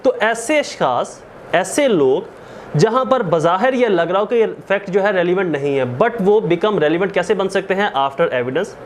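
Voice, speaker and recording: Casting: male law lecturer, Pakistani accent, high voice, didactic and repetitive for emphasis, faint noise behind him. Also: expressive, a little slow. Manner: didactic, asks and answers own questions, repeats points for emphasis; code-switches into English legal terms. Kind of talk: explanatory lecture.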